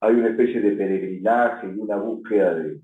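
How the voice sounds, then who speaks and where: A man's voice: on the low side, fairly even intonation, a little fast. Male interview host, long winding questions, phone-like sound, echoey room. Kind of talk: radio interview.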